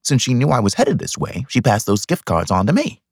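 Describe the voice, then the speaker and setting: A man reading fiction as an audiobook narrator, in an expressive scripted style. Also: medium pitch, brisk, vocal fry, husky, no echo, clean studio sound.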